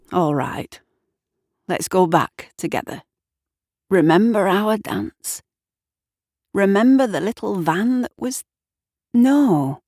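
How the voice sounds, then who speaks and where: A grieving old storybook man, acted by a woman, halting and full of sighs. He talks very slowly and wistfully, in a close, dry recording. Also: mid-range voice, a little mellow, dry recording, pitched above the performer's own voice. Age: older. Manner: halting, sighing, nostalgic. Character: grieving, wistful old man reminiscing about lost youth.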